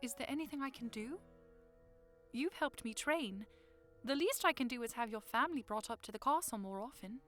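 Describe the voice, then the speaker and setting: A woman playing an affectionate young princess in an audio drama, caring and playfully commanding, with a gentle, teasing manner. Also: fairly high pitch, lively intonation, moderate pace, soft background music. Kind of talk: fan audio drama acting.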